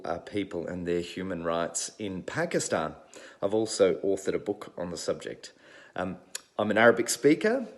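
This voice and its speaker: Low-voiced Australian man with ums. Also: a little mellow. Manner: conversational, with frequent ums and uhs. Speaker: new board member. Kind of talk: prepared self-introduction.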